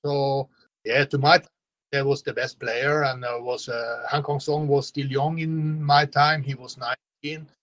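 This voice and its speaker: A man answering an interview very slowly, stopping often to search for words. He sounds dull and lo-fi, as if recorded through a cheap line, at a middle pitch. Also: level tone, a bit bright. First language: Norwegian. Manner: halting, with many fillers and restarts. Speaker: interviewee, former football coach.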